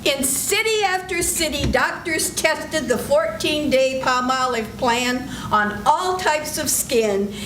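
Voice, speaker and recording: A woman's voice: sits high, lively intonation, takes it slowly, few pauses, roomy sound, background noise. A woman announcing in a polished, old-time radio style in a live stage show. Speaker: announcer and emcee. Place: senior center stage.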